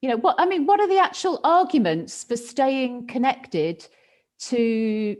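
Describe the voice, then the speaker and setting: A woman's voice: high voice, big pitch swings, measured pace, darkish tone. A woman hosting, asking questions and rephrasing them as she searches for words. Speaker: host. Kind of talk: interview questions.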